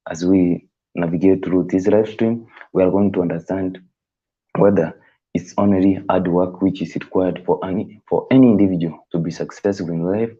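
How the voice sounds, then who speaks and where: A man whose first language is not English, hosting a live stream in casual, halting talk that rephrases as he thinks aloud. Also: low voice, dark tone, muffled recording.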